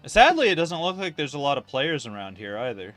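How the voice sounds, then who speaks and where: A man's voice: fairly high pitch, sing-song, a little fast, fairly bright tone, dry recording, faint music behind. A man casually commentating a livestream of an online fantasy game, rambling and thinking aloud with casual swearing.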